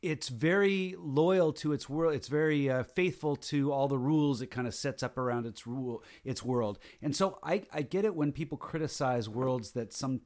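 A man co-hosting a podcast, talking things through thoughtfully in halting, restarting sentences. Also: medium pitch, darkish tone. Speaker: podcast co-host. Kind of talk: casual chat.

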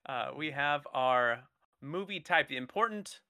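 A young man hosting a podcast with a bright, forward tone and a slightly raised voice. He explains things in a playful, rambling way and keeps the banter going with his co-hosts. The sound is close and dry. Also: a little high, lively intonation, measured pace, no room sound. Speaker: podcast host running a movie draft game. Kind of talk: casual comedic chat.

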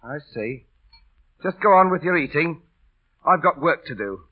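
Cocky busker, muffled old radio. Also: male voice, on the high side, expressive, moderate pace, husky. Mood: breezy, bantering. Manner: theatrical, wry, colloquial. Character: cocky, teasing, kindhearted working-class London busker. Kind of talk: 1930s-40s radio drama acting.

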